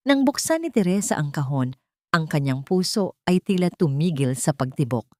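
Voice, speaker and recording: Filipino lady narrator, dramatic storytelling, lowish voice that moves around a lot, close dry sound. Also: mellow, dry recording. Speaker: audiobook narrator.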